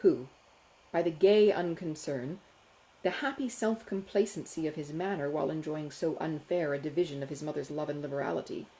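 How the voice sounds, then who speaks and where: A woman reading a classic novel as an audiobook, expressive and carefully phrased in a measured literary manner. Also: low-pitched, low-quality recording. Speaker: audiobook narrator, author.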